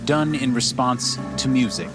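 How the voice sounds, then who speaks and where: A man casually reading out word-game prompts in a lively way. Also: mid-range voice, measured pace, over loud music.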